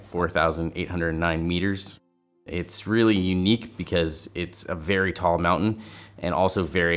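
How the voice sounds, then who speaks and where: A man with a low voice explains things in an enthusiastic, informative way. Clear music plays behind him in this documentary interview. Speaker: expedition organizer.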